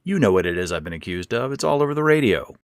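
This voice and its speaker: A man's voice: medium pitch, big pitch swings, quick pace, no room sound, studio quality, pitched above the performer's own voice. A man playing a resentful, sarcastic adult stepson in a horror audio story, curt and defiant in manner. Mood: incredulous. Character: resentful, sarcastic grown stepson, a disgraced drifter.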